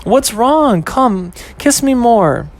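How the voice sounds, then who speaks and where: A man's voice: very high-pitched, lively intonation, slow pace, voice pushed up, heavily acted. A man voicing a young woman, a flippant girlfriend who scoffs and fires off rhetorical questions. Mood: scoffing. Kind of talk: amateur audio story acting.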